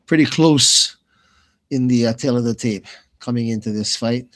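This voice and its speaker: A man's voice: mid-range voice, normal speed. A man commentating live on fights in a casual, informal way, with plenty of bro and man. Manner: informal, slangy, calls people bro and man. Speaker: commentator, former pro fighter.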